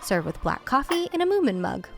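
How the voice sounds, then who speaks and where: A woman's voice: high-pitched, sing-song, fairly quick, soft background music. A woman from the United States hosting a video essay, narrating a recipe with dry, sarcastic humor and casual swearing. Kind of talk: casual voice-over narration over cooking footage.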